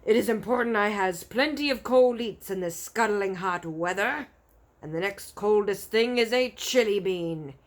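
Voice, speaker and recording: A woman's voice: mid-range voice, moderate pace, close to an everyday voice. A woman voicing a brutish man-eating male giant in a storybook, roaring and gloating in mangled grammar. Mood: gloating, boastful.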